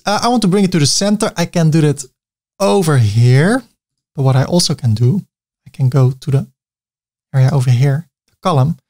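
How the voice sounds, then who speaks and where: A man's voice: on the high side, darkish tone. A man with a Dutch accent giving a chatty screen-recorded web design tutorial, with self-mocking asides.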